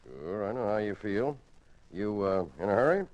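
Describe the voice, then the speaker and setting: An actor playing a shrewd middle-aged police lieutenant who talks slowly and slyly, pausing with hesitant "uh"s, in old-time radio drama style. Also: male voice, on the low side, lively intonation, darkish tone, muffled recording, natural voice. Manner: slow, sly, with hesitant "uh" pauses. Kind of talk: 1940s radio acting. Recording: old radio recording with faint hiss.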